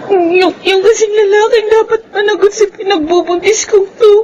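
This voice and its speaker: An actress playing a desperate, wronged young pregnant housemaid who is crying, in a radio drama. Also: female voice, very high-pitched, fairly even intonation, normal speed, dark tone, lo-fi sound, a little hiss or hum. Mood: tearful pleading. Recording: music behind the voice.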